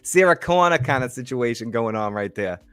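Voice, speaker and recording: A man's voice: mid-range voice, animated, moderate pace, fairly bright tone, clean tone, no room sound. A man with a Boston accent co-hosting a casual podcast, easygoing and agreeable, often restarting his sentences. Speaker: co-host.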